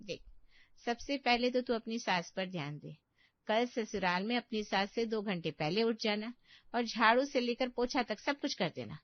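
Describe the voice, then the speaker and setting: A woman's voice: a little high, lively intonation, normal speed, no echo, dull audio, close to an everyday voice. A woman voicing a scheming, encouraging middle-aged mother who advises her daughter in a story. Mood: advising.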